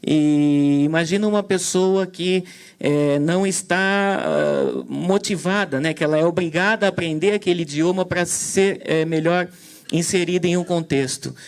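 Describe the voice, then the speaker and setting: A man's voice: a little high, expressive, moderate pace. A man moderating a conference session, talking hesitantly with many fillers and restarts. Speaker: event moderator. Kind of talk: commentary on a talk.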